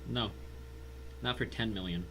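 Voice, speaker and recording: Man playing a grim avenger, low, hard-edged voice. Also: unhurried, slightly rough, exaggerated voice, low-quality recording. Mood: cold, vengeful. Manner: cold, quiet, flat delivery. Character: grim, vengeful man holding his tormentor at gunpoint. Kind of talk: dramatic audio story acting.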